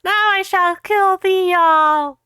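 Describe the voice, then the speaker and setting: A woman voicing a pompous, gloating male dark wizard who makes slow, theatrical threats in archaic speech. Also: very high voice, dry recording, studio quality, voice pushed up, full character voice. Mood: gloating menace.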